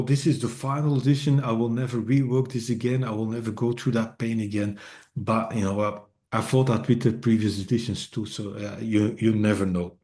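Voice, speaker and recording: A man's voice: medium pitch, warm tone. A middle-aged man whose first language is Dutch gives a casual presentation to an online meetup in English, hesitant and full of fillers, with a wry self-deprecating streak. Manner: hesitant, many fillers and restarts. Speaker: author and speaker.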